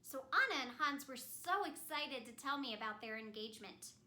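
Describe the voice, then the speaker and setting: A woman's voice: high voice, animated, normal speed, sharp tone, slight background noise. A woman telling a children's story in the first person as an ice queen, theatrical and speaking simply and directly to children. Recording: roomy echo.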